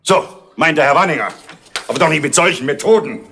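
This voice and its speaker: An actor playing an indignant, blustering middle-aged chief inspector in a 1970s radio crime play. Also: male voice, on the high side, animated, fast, creaky, a bit bright, a little hiss or hum.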